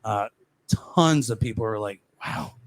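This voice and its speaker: A man's voice: on the low side, a little slow. A man from Ohio hosting a podcast in loose, profane, joking chat.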